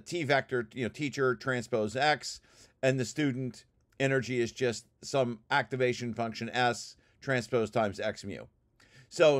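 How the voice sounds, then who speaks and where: A man giving an informal technical walkthrough as a presenter, with halting, hesitant explanations and repeated words. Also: medium pitch, a bit bright. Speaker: presenter reviewing own technical paper.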